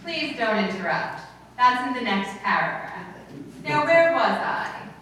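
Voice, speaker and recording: An actress playing a wry, wisecracking high school teacher with dry, theatrical radio comedy delivery. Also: female voice, big pitch swings, unhurried, bright, forceful tone, full character voice. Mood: mock formal. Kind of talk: old-time radio comedy acting. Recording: echoey room from far off, faint noise.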